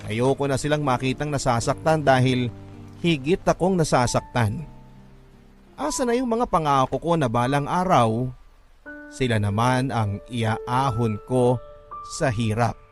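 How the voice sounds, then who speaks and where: A man's voice: medium pitch, unhurried, long pauses, soft background music, close to an everyday voice. A man playing a remorseful young son from a poor family, narrating emotionally with a Filipino accent in a radio drama. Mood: remorseful, pained. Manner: emotional, confessional. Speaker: narrator telling his life story in a radio drama. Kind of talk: dramatic first-person letter narration.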